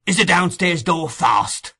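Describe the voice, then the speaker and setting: A man's voice: high-pitched, animated, measured pace, pushed and bright, slightly rough, voice pushed up, exaggerated voice. A man doing a fierce, desperate cornered ruffian. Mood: fierce, urgent. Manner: barking, menacing commands.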